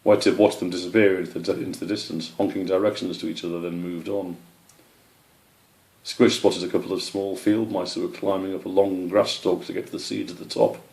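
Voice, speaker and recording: A man reading a children's story aloud in an expressive narrating voice. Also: low-pitched, a little fast, gravelly. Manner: expressive, with character voices for animals. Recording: roomy, echoey sound.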